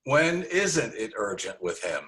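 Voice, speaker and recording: Actor as a wildly animated, brisk Hollywood agent. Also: male voice, lowish voice, heavily acted. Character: busy, brisk male Hollywood talent agent. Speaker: actor in a screenplay table read. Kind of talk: conversational screenplay table-read acting. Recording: noisy remote online recording with clicks.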